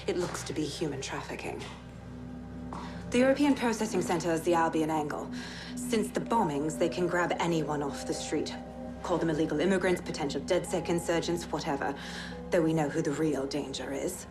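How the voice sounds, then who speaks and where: An actress playing a determined female police inspector, grave and deliberate, recording a secret log in a video game. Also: low voice, close to an everyday voice. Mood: grim, determined. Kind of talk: video game cutscene monologue. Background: music.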